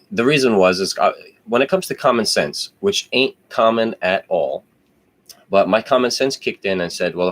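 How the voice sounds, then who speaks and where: Brief: very low-voiced guy, rambling casual storyteller, lots of "uh"s and restarts. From New Jersey. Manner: rambling, digressive, with many fillers and self-corrections. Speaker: podcast host.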